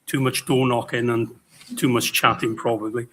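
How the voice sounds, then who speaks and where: A middle-aged man from Pembrokeshire with a hoarse voice, giving a halting hustings speech with many fillers. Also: medium pitch, little pitch movement, fairly bright tone, slight background noise. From Pembrokeshire, Wales. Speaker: election candidate. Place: public hall event.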